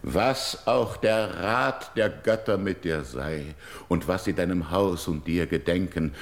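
Grave, deep-voiced grieving old king. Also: reverberant. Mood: firm, earnest. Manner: solemn, measured, declamatory. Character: grieving, proud older king, childless widower-like ruler seeking a bride. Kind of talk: classical verse radio drama.

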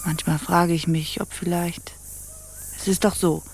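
An actress playing an imperious, mocking pirate captain in a theatrical radio play, brooding and scornful. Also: female voice, lowish voice, little pitch movement, unhurried, vocal fry, a little mellow, slight background noise. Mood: brooding, dreamy. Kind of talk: stage-like radio play acting.

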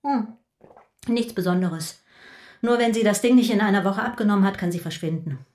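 A woman's voice: medium pitch, moderate pace, darkish tone, lightly acted. An actress playing an exasperated, sarcastic middle-aged mother who scolds dramatically in a radio drama. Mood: stern. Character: exasperated, sharp-tongued mother of teenage twins. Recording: roomy, echoing indoor sound.

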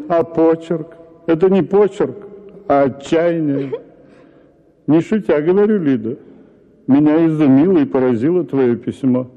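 An actor reading a story for radio as a husband, lecturing in an exasperated tone. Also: male voice, fairly high pitch, dark tone. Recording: tinny radio broadcast with hall reverb.

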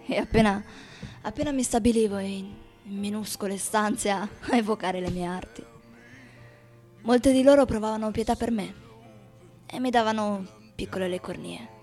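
A woman acting a boozy, brash character in a theatrical radio play, speaking expressively and haltingly. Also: mid-range voice, a little mellow. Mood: wistful. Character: boozy, brash, teasing woman lover; later reminiscing starving writer. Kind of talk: theatrical radio drama monologue.

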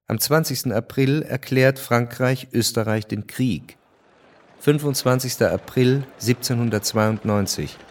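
A man giving measured, detached literary narration for a radio drama. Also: medium pitch, a little hiss or hum, close to an everyday voice.

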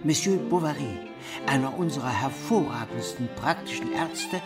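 A man's voice: medium pitch, animated, normal speed, lightly acted. An actor in a radio drama voicing a pompous man who declaims a glowing newspaper report. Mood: proud. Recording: distant voice under clear music.

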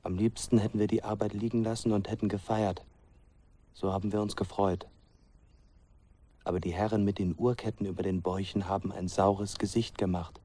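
An actor playing a plain-spoken working villager recalling a fugitive in a radio drama, with reflective delivery. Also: male voice, fairly low pitch, flat delivery, a little fast, mellow, lightly acted. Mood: wry, reminiscing. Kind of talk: narration in a German radio drama.

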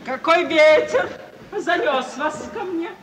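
An actress playing a sly, lively, teasing society lady in a 1950s Russian radio comedy. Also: extremely high pitch, takes it slowly, a little hiss or hum, exaggerated voice. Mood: arch. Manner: animated, teasing, swooping theatrical delivery. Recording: distant, long-reverb 1950s radio recording.

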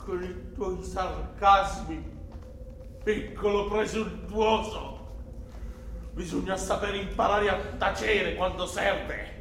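An actor playing a scornful, exasperated man scolding someone in a theatrical radio drama, stern, reproachful and deliberate. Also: high-pitched, a bit bright, heavily acted. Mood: scornful, angry. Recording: distant echoey stage, background crowd, noise.

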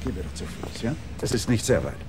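Casting: aufdringlicher Regieassistent, sprunghafte Melodie, eher tiefe Lage. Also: male voice, on the low side, quick pace, background noise. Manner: pushy, excitable, name-dropping. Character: eager talkative male assistant director, ingratiating. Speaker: would-be assistant director.

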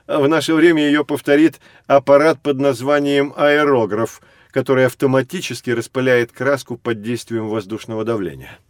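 Man as a patient know-it-all guide explaining stuff to kids, storyteller style, mid pitch, very dry recording. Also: fairly even intonation, moderate pace, no room sound, natural voice. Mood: patient, informative. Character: patient, knowledgeable male guide teaching two children. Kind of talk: scripted educational narration for children.

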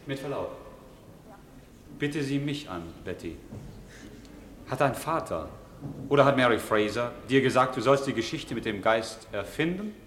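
An actor playing an insistent defence lawyer in a radio play, with formal, probing courtroom oratory. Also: male voice, mid-range voice, animated, measured pace, long pauses, a bit bright. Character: insistent, rhetorical male defence lawyer in old London. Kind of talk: German radio play acting. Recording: echoey hall with background hiss.

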